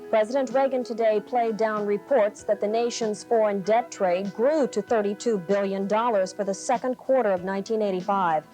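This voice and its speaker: Female news anchor, medium pitch, 1980s TV newscast delivery, light music underneath. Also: fairly even intonation, normal speed, few pauses, dark tone, low-quality recording. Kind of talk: local TV newscast reading. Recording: faint music, 1980s TV broadcast.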